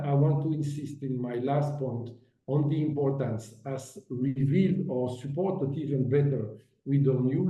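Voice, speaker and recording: A man giving formal conference remarks as an agency director, halting with many fillers and restarts, speaking as an over remote call audio. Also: medium pitch, dark tone. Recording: dull roomy audio from a distance.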